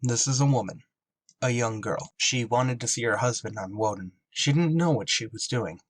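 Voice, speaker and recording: An actor playing a gruff, tormented emergency ship pilot in a radio drama, terse and weary under strain. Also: male voice, medium pitch, normal speed, close to an everyday voice. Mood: tense, formal. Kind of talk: radio drama acting with narrated inner monologue.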